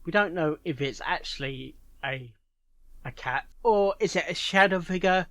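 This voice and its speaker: Man musing aloud in a fairly high voice. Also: moderate pace, fairly bright tone, no echo. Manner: musing, speculative, thinking aloud. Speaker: reaction video host. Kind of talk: unscripted reaction commentary.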